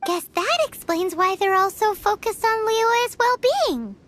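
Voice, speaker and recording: Squeaky, excitable little fairy companion. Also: female voice, measured pace, slight background noise, full character voice. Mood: curious, excited. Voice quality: squeaky, childlike. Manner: exclamatory, refers to self in third person. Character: small excitable bossy fairy companion, childlike and chatty. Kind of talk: video game cutscene acting. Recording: music playing behind the voice.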